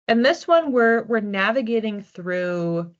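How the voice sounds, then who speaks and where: A woman reading oracle cards for a general audience in a relaxed, chatty way, thinking aloud as she goes. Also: a little high, slow. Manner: chatty, thinking aloud with restarts and soft laughs. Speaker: psychic card reader. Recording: slightly dull lo-fi audio.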